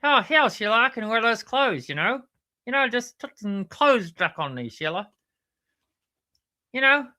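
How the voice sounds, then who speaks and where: A man's voice: very high voice, big pitch swings, a little slow, long pauses, bright, forceful tone, voice pushed up, exaggerated voice. A man voicing a touchy, whiny platypus puppet in comic banter, exasperated and emphatic, repeating himself. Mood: complaining.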